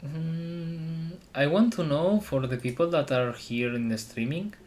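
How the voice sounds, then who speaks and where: A man from Spain who speaks English as a second language, chatting casually on a live stream and often pausing to search for words. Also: a little high, darkish tone, slight background noise. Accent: Spanish. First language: Spanish. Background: guitar music.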